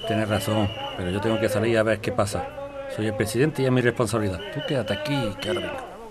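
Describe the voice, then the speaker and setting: A man acting a smooth-talking, dutiful husband in a radio play. He speaks quickly in a lowish voice with a Spanish accent, with a crowd audible behind. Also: steady tone, close to an everyday voice. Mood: impatient, dutiful. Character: dutiful, placating husband with a flirtatious streak. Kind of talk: Spanish audio drama acting.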